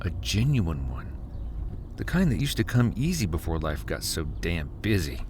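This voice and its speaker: A man playing a weary husband and father, narrating a horror audio drama in the first person with dramatic pauses. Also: sits low, very animated, a little mellow, slight background noise. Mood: wistful, relieved. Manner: slow, dramatic storytelling with heavy pauses. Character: weary husband and father recounting a terrifying cabin getaway. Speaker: narrator and actor.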